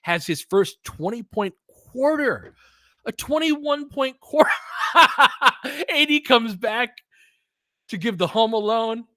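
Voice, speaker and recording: A young man doing live play-by-play commentary, excitable, with quick asides and frequent laughs. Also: extremely high pitch, no room sound, studio quality. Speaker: fan play-by-play host.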